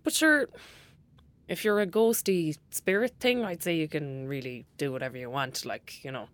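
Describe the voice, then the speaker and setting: A woman's voice: low-pitched, normal speed, no room sound, close to an everyday voice. Woman acting cheeky young irish girl, bossy best mate, coaxing and slagging, audio drama, very dry sound. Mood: musing, reassuring. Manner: cheeky, coaxing, colloquial banter.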